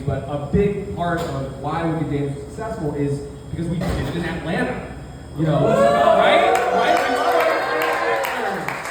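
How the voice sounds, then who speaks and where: A man moderating a live panel Q&A, speaking effusively and feeling his way through his questions. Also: on the high side. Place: coffee bar event space, indoors. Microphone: distant from the speaker. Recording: roomy echoing event audio, distant mic, loud noise.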